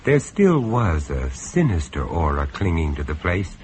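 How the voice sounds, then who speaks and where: Deep wry urbane narrator, very animated, radio play w/ a bit of noise. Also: male voice, a little slow, full character voice. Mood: storytelling. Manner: sardonic, droll, polished. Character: urbane, wry horror actor narrating his holiday tale. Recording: noisy radio drama recording.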